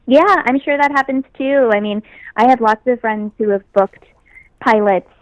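A young woman with a high, light voice chats easily about her work, upbeat and given to laughing. The sound is dry and close, with no room around her. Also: moderate pace, dry recording, poor audio. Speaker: guest actress. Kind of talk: casual interview chat.

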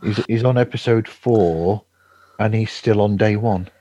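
A man chatting casually as a podcast host, halting and rambling, often chuckling. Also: fairly low pitch, dark tone. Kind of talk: casual remote chat. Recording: dull, roomy remote call audio.

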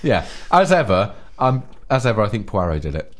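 Male podcast co-host, giggly rambler, very lively pitch. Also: fairly quick. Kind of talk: casual comedic chat.

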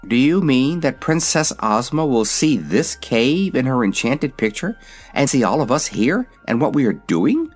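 A man's voice: medium pitch, sing-song, a little slow, soft background music. A man voicing a curious, easily astonished and uneasy young boy in a children's story. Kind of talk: storybook character voice.

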